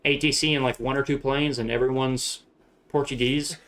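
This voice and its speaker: A young man with a Southern US accent talks loosely on a live stream. He rambles through explanations, trips over his words and laughs easily. His pitch and energy sit in an ordinary middle range. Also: steady tone, normal speed, fairly bright tone. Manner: laughs a lot, rambling and loose, swears casually. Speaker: streamer flying a shared-cockpit sim flight. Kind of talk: casual live-stream chat.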